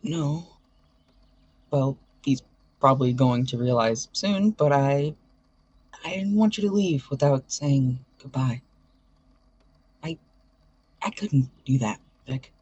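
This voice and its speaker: A loyal young best friend played by an actor in an audio drama, with a husky, mellow voice. He speaks haltingly and sincerely, and the recording is dull and muffled. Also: medium pitch, animated, dry recording. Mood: sheepish, sincere.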